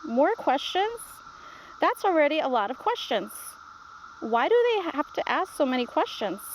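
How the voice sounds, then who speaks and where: A worried, inquisitive young child in a storybook, as read aloud by a woman. The voice is high and full of questions, and there is slight background noise. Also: big pitch swings, moderate pace, low-quality recording. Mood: worried, curious. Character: anxious, inquisitive young grandchild starting kindergarten.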